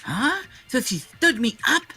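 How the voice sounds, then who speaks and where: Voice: sits high, very animated, unhurried, bright, forceful tone, voice pushed up, full character voice. A performer in an amateur game fandub voicing a theatrical young male schemer who feigns innocence. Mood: playful, petulant. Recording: music behind the voice.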